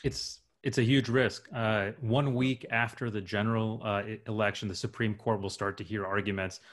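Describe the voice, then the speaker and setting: Young guy doctor on a video call, low-ish voice, earnest and urgent, lots of uhs. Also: fairly even intonation, moderate pace. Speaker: physician panelist. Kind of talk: panel discussion. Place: home room with bookshelves.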